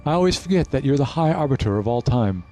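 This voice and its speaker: Guy playing a sly smug audio drama villain, mocking, pitch swinging all over, music behind. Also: lively intonation, fast, warm tone, close to an everyday voice. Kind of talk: full-cast audio drama acting.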